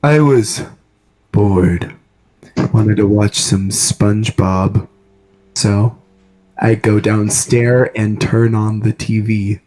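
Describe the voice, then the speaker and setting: A man narrating a story aloud for friends in an amateur, dramatic, halting way. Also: lowish voice, fairly even intonation, darkish tone, rough recording.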